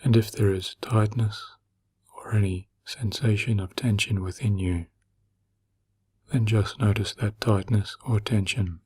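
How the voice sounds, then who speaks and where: A man narrating a guided meditation in a gentle, soothing, slow manner with long pauses and a breathy, husky voice. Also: on the low side, steady tone.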